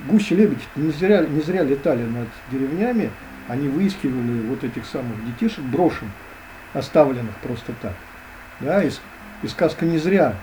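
A man talking as a guest in an interview, hesitant and full of drawn-out fillers. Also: medium pitch, dark tone, a little hiss or hum, low-quality recording. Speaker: guest expert. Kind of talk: conversational interview.

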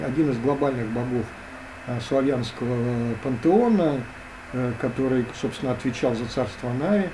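A man talking as a guest in an interview, hesitant and full of drawn-out fillers. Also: medium pitch, dark tone, slight background noise, poor audio. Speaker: guest expert. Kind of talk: conversational interview.

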